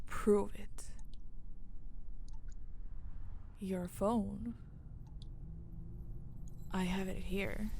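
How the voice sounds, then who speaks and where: Woman acting as a female mafia boss, menacing and dominant, very slow delivery, creaky breathy voice, condescending, audio roleplay, noisy recording. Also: mid-range voice. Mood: teasing, probing. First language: Finnish. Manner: slow, deliberate, condescending, breathy near-whisper. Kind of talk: intimate dramatic audio roleplay.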